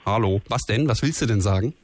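A man's voice: lowish voice, expressive, fast talker. A man hosting a call-in radio show in casual, informal talk, often jumping in with short interjections. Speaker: radio phone-in host.

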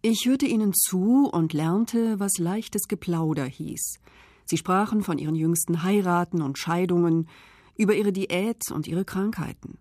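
A self-made woman recalling her climb from poverty, her voice low-set and mellow. She speaks in a measured, reflective way, close and dry with no room sound. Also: no echo. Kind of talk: reading memoir excerpts in first person.

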